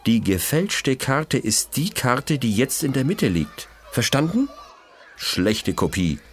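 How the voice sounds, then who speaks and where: A man voicing a clever, bossy schoolgirl detective, explaining quietly and briskly in a children's audio mystery. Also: mid-range voice, very animated, pitched above the performer's own voice. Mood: eager, instructing.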